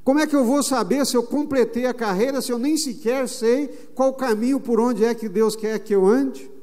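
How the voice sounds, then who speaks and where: Brief: Brazilian male pastor, high, mellow preaching voice, long church reverb. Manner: emphatic preaching with slow, drawn-out phrases. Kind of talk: sermon. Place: church sanctuary.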